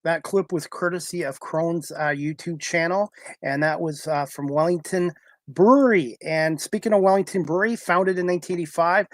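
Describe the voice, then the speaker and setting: Guy hosting a talk show, highish voice, close dry sound, reads out sponsor plugs and trips a bit. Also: normal speed, no echo. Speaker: talk show host reading sponsor spots. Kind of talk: live sponsor read, conversational.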